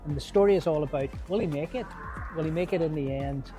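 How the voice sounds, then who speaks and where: Male theatre maker, Northern Irish accent, fairly high pitch, halting informal interview delivery, soft music bed. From Northern Ireland. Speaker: theatre company member promoting a show.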